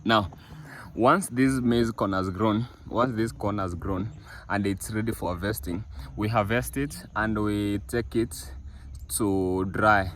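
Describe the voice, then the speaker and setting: A man's voice: on the low side, fairly even intonation, slow, a bit bright, a little hiss or hum. A young man vlogging from his family farm outdoors, talking casually to viewers with many fillers and restarts. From East Africa. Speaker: village vlogger. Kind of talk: casual walk-through vlog.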